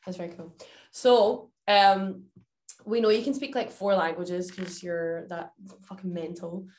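A young woman hosts a casual interview with easy enthusiasm. She swears freely and fills her speech with likes and ums. Her pitch is ordinary, middle for a woman. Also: fairly even intonation, measured pace, a little mellow. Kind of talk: casual chat.

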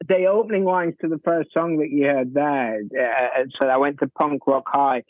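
A man's voice: fairly high pitch, steady tone, normal speed, dry recording. A man, an author guest on a music podcast, talking enthusiastically about a band and often repeating words as he goes. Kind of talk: casual music chat. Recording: tinny phone-like remote line.

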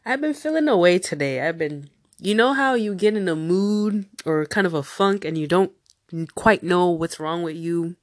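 A woman's voice: lowish voice, animated, moderate pace, dry recording, clean studio sound. A woman hosting a casual solo podcast, sounding nasal from a cold and talking in a chatty, rambling way with tangents. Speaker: podcast host.

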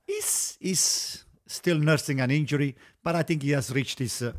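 A man co-hosting a casual sports podcast, hoarse from yelling, teasing the others with mock threats. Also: a little high, moderate pace. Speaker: podcast co-host. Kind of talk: casual banter.

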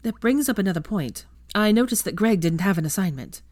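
A woman's voice: mid-range voice, lively intonation, a little fast, a little mellow, no echo, studio quality, voice pushed up, close to an everyday voice. A woman voicing a friendly young wizard who speaks in a plain, earnest way.

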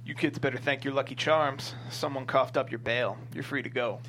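A man puts on a gruff prison guard who could not care less. The voice drops lower and stays dismissive, in a comedy table-read style with faint hum. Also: steady tone, moderate pace, sharp tone.

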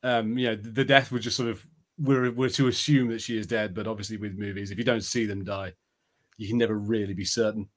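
A man's voice: on the low side, steady tone, a little fast. A man co-hosting a podcast, giving his opinions in a casual, conversational and tentative way. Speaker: podcast co-host. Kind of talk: casual chat.

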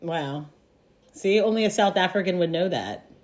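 A woman's voice: fairly low pitch, normal speed, a little mellow. A woman with an American accent hosting a casual chat in an enthusiastic, exclamatory way. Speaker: podcast host.

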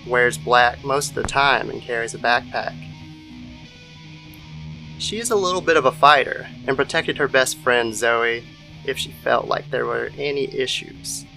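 A man reading a scripted dark story as narrator, in the manner of an old-time radio show. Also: mid-range voice, vocal fry, poor audio. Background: soft guitar music.

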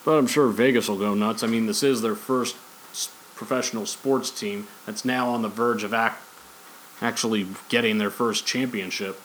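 A man's voice: on the low side, steady tone, normal speed, fairly bright tone, slight background noise, poor audio. A man hosting a podcast, talking casually in a rambling, opinionated, conversational way. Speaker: podcast host. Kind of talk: casual opinionated podcast talk on sports and movies.

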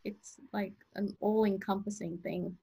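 Husky-voiced woman from South Africa. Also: medium pitch, measured pace, poor audio. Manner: relaxed, rambling with fillers, laughs easily. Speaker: podcast co-host. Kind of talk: casual chat.

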